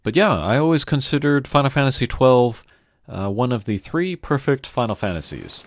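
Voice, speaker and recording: A man's voice: mid-range voice, lively intonation, normal speed, no room sound, clean studio sound. A man casually commentating as he plays a video game, rambling and opinionated in an informal way. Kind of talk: casual let's-play commentary. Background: faint game music.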